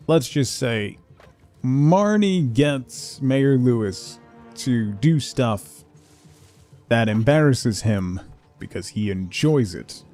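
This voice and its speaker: Male livestreamer, mid-pitched, easygoing and chatty gaming commentary with soft music underneath. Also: expressive, drawn-out words, pauses often. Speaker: game livestreamer.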